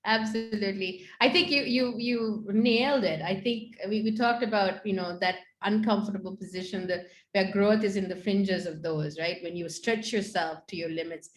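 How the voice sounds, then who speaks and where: A woman's voice: mid-range voice, normal speed, few pauses, roomy sound, low-quality recording. A woman hosting an online panel interview, asking questions and affirming her guest in an encouraging way. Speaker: host, interviewer.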